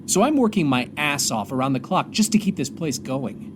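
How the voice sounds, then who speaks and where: A man playing a weary, grieving astronaut commander stranded alone, speaking an earnest, halting audio drama monologue. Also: a little high, very animated, background noise. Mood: worried.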